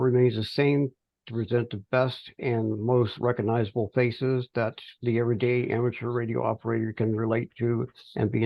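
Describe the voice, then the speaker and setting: Male, middle-aged, creaky mid-pitched voice, halting informal teleconference report, close dry sound. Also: dry recording. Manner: halting, hesitant delivery with pauses mid-phrase. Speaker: amateur radio project volunteer. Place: remote teleconference call. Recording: dull, band-limited teleconference audio.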